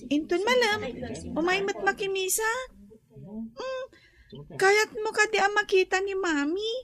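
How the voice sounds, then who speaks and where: A woman's voice: extremely high pitch, expressive, unhurried, a bit bright, exaggerated voice. A woman playing a frank, polite young garland-selling girl in an Ilocano radio drama, speaking with an Ilocano accent. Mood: eager, cheeky.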